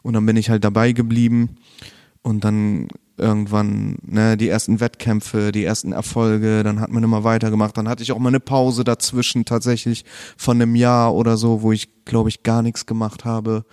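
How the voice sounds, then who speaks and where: A man's voice: fairly low pitch, moderate pace, clean tone, no echo, studio quality. A man, chatting casually as a podcast guest with slang and fillers. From Bremen, Germany. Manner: relaxed, laid-back, peppered with slang and fillers. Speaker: podcast guest, martial arts trainer. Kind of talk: casual chat.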